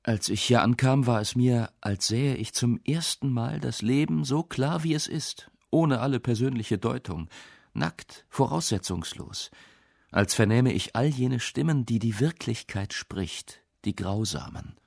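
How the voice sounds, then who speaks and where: An actor playing a troubled young poet, reading letters in a measured, reflective way in a radio essay. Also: male voice, mid-range voice, animated, gravelly, a little mellow, no room sound, clean studio sound. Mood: reflective, uneasy. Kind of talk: literary quotation in a radio essay.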